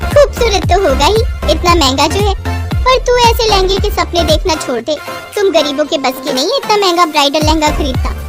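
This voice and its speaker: A woman's voice: extremely high pitch, expressive, normal speed, pitched above the performer's own voice. A woman voicing a haughty rich young village bride with a boastful, taunting manner. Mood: scornful. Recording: background music under the voice.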